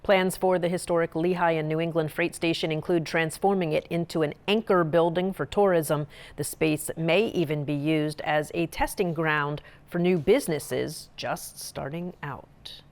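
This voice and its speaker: Female news reporter, slightly low voice, scripted local-story narration. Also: steady tone, normal speed, a little mellow.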